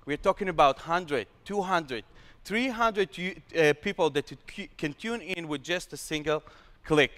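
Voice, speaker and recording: A young man with an Israeli accent, a startup founder pitching his product on stage with energetic, slightly broken English. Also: moderate pace, hardly any pauses. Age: young adult. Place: large hall with stage. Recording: long hall reverb.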